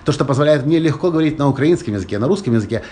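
A man's voice: a little high, lively intonation, rapid-fire, dark tone, a little hiss or hum. A man with a Russian-Ukrainian accent hosting a motivational podcast, talking straight to listeners and repeating his key phrases for emphasis. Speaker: podcast host.

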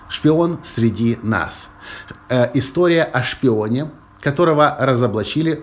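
A man with a Russian-Ukrainian accent hosting a motivational podcast, talking straight to listeners and repeating his key phrases for emphasis. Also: mid-range voice. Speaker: podcast host.

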